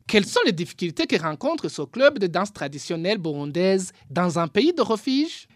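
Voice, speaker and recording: A man presenting a weekly radio magazine, reading his script in a measured, formal way. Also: sits high, no room sound, studio quality. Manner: measured, formal read delivery.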